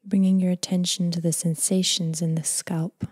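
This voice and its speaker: A woman leading a guided body scan meditation in a soft, breathy, near-whispered voice, gentle, unhurried and soothing. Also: fairly low pitch, no room sound, clean studio sound. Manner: gentle, unhurried, calming delivery with long phrases trailing off.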